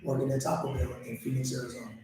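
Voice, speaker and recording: A man's voice: mid-range voice, level tone, racing, a crowd in the background. A man in his late forties co-hosting a casual chat, joking and conversational. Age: 40s. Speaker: co-host. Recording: echoey room, far from mic.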